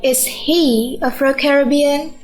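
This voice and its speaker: A woman's voice: high voice, steady tone, faint music behind. A woman playing a sardonic wife who questions her husband in a radio drama. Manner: sarcastic, deliberate, questioning.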